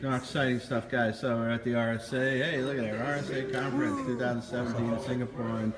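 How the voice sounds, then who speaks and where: A man's voice: medium pitch, level tone, quick pace. Enthusiastic male interviewer, echoey hall. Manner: upbeat and enthusiastic, rambling with fillers. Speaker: interviewer, host. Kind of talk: casual conversational interview. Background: crowd chatter.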